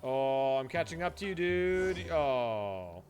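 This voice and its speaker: A man's voice: mid-range voice, very animated, takes it slowly, darkish tone. A man doing casual live game-stream commentary, rambling playfully with dry asides. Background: music.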